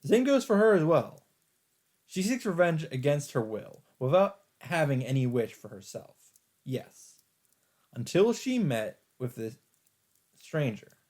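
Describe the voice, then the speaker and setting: A man's voice: fairly high pitch, sing-song, a little slow, a little mellow. A man hosting a let's play, reading a visual novel's narration aloud in a dramatic storytelling style. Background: game music.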